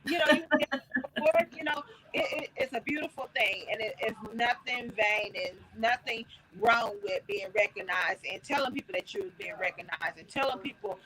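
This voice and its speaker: A woman's voice: fairly high pitch, lively intonation, normal speed, bright, forceful tone, poor audio. A woman hosting a casual livestream on her phone, talking in a folksy way with many fillers and restarts. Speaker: host.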